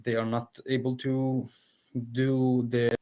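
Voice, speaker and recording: Male guest from Palestine, mid-pitched, earnest, halting speech. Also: level tone, warm tone, clear, resonant tone. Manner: earnest, hesitant, frequent fillers. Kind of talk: casual interview.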